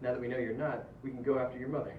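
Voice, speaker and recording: A man as fast-talking bounty hunter, echoey. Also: medium pitch, flat delivery, natural voice. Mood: businesslike. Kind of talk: comedy table read. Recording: echoey, distant, muffled room with faint noise.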